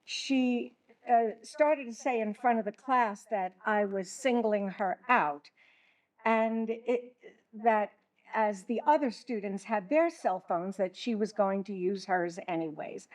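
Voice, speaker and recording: A woman playing an earnest, flustered schoolteacher in a stage play, speaking diplomatically with halting repetitions. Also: mid-range voice, darkish tone, natural voice, poor audio. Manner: hesitant, stammering, diplomatic.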